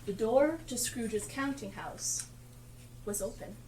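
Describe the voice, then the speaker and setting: A woman narrating a Victorian Christmas tale on stage with deliberate, dramatic phrasing. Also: a little high, a little mellow. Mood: dramatic storytelling. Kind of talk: theatrical scripted storytelling. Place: theatre stage, live performance. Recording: echoey distant live stage, noisy.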